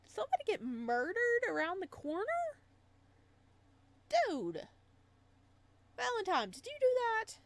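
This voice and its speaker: A woman doing a let's play, reading story text aloud and adding expressive, chatty reactions in a casual style. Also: very high-pitched, a little slow, pauses often, a little hiss or hum. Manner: expressive, chatty asides between read passages.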